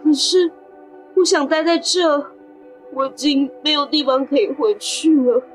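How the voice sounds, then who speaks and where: A woman playing a gentle, anxious fourteen-year-old boy in an audio drama, hesitant, earnest and polite. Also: high-pitched, a little slow, rough recording. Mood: sad, pleading. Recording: background music under the voice.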